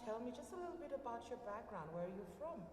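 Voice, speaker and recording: A woman's voice: a little high, sing-song, quick pace, a little mellow. A woman hosting event coverage and putting questions to guests as an interviewer. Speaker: interviewer, programme host. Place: large indoor event venue. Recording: distant, echoing noisy hall.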